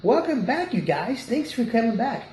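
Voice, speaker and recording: A man hosting a web news show, handing off to segments with an animated, slightly hesitant delivery and plenty of fillers. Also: very high voice, normal speed. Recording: roomy echo, faint ambient noise.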